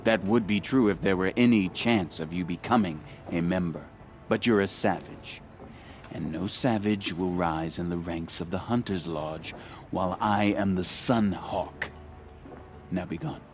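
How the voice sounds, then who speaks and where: An actor playing a haughty, contemptuous hunting lodge leader in a video game, with a sneering, pompous delivery. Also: male voice, low-pitched, unhurried, soft background music. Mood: scornful.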